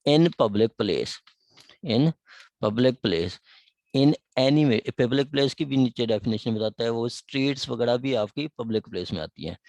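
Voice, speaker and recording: A man with a Pakistani accent explaining a law text, reading the English wording slowly and then explaining it in his own words with frequent check-ins. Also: mid-range voice, animated, darkish tone, no room sound, poor audio. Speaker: law lecturer.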